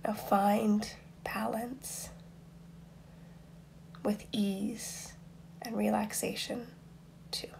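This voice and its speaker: A woman guiding a meditation in a soft, breathy, near-whispered voice, soothing and unhurried, with long pauses between instructions. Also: medium pitch, level tone, a little hiss or hum, rough recording. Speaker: meditation guide. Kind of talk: guided meditation.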